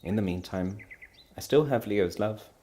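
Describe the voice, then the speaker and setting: A man's voice: on the low side, measured pace, mellow, natural voice. An impassioned storybook wife , acted out by a man, proud and defiant through her anguish. She speaks emphatically, her voice trembling with feeling as she stands up for the husband she loves. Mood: bitter, yearning. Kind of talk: dramatic storybook character reading.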